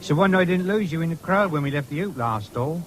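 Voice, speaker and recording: A man's voice: a little high, expressive, brisk, crowd noise, close to an everyday voice. An actor playing an easygoing, free-spending young countryman with a rural English accent in a 1970s radio drama, teasing and hesitant in dialect. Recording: noisy old radio broadcast, fairground din.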